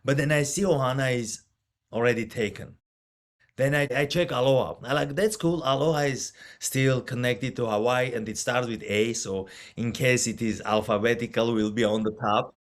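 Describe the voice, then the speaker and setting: A man's voice: medium pitch, moderate pace. A man who works as a financial advisor, a guest on a podcast, explaining things in an earnest, careful way. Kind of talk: conversational interview.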